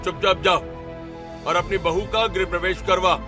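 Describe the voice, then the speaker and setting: A man voicing a stern, commanding father-in-law in a cartoon family drama, exasperated and loud. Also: high-pitched, flat delivery, normal speed, music in the background, lightly acted. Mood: angry, commanding. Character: stern, angry father-in-law and head of household.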